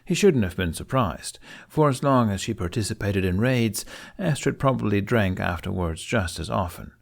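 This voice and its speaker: A man's voice: lowish voice, animated, normal speed, vocal fry. A man reading scripted fiction aloud as an audiobook narrator.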